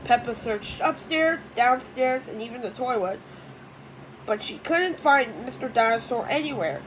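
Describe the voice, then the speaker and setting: A female reader telling a children's story aloud, halting and correcting herself as she goes, with informal asides. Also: a little high, expressive. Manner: halting, self-correcting reading. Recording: clear background noise.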